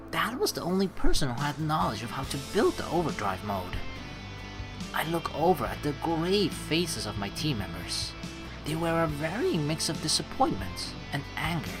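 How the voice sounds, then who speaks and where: Man gaming casually, music behind, animated delivery. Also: fairly high pitch. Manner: profane, rambling, theorizing. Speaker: let's play host reading game text aloud. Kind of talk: casual gaming commentary mixed with read-aloud narration.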